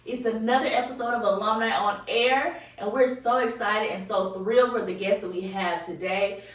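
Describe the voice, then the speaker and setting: A woman's voice: mid-range voice, fairly even intonation, normal speed. Enthusiastic woman host in echoey office. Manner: enthusiastic, effusive. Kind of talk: upbeat interview chat. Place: office room. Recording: echoey room, distant mic.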